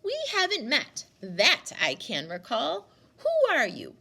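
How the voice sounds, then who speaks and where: A woman voicing a suspicious, blunt old grandmother with a sharp, indignant manner in a storybook reading. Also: high-pitched, wildly swooping pitch, slow, creaky, a little hiss or hum, pitched above the performer's own voice, full character voice. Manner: sharp, retorting.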